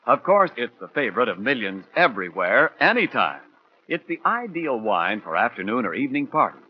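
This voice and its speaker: A man's voice: mid-range voice, very animated, brisk, slight background noise. A man announcing a 1940s radio show and its sponsor in a polished, emphatic sales manner. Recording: muffled 1940s radio broadcast.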